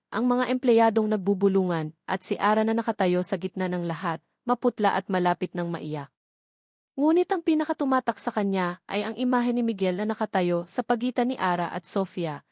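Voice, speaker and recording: A woman's voice: medium pitch, brisk, darkish tone, dry recording, studio quality. A woman with a Filipino accent reading a dramatic scripted story as an audiobook narrator.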